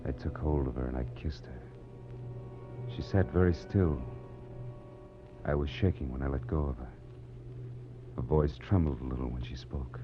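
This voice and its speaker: An American actor playing a cynical, tough private detective in a 1940s radio drama, with a clipped, world-weary, wisecracking delivery. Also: male voice, rumbling low, moderate pace, pauses often, music in the background. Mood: hushed narration. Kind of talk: 1940s radio detective drama acting with first-person narration. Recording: dull, noisy, humming 1940s radio recording.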